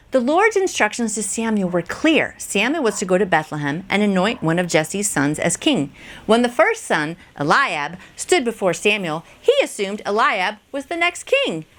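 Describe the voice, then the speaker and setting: Female devotional host, mid-range voice, chatty and encouraging, informal asides, music behind. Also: mid-range voice. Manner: chatty, encouraging, direct address with rhetorical questions. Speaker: host of a daily devotional, reader. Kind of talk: devotional reading with informal personal commentary. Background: background music.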